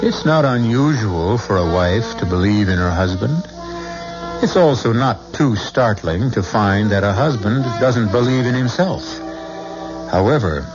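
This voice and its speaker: A man hosting and narrating a radio mystery drama in a measured, ominous way, from an old radio broadcast. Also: medium pitch. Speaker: host and narrator. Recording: dull old radio broadcast with music behind.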